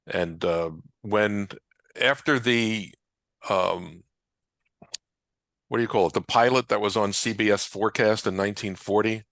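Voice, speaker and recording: A man speaking as a researcher and guest in a conversational panel discussion, explaining things in a halting way. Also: fairly low pitch, fairly bright tone, dry recording. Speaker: radio historian and researcher, interview guest.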